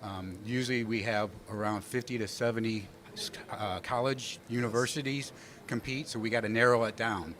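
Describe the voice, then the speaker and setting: Halting low-voiced man in a noisy hall. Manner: relaxed, halting with fillers. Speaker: competition organizer. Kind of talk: panel talk, explaining.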